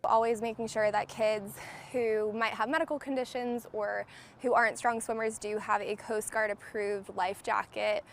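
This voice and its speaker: A woman's voice: mid-range voice, steady tone, normal speed, slight background noise. A woman physician giving a news interview, speaking earnestly and explaining with a few restarts.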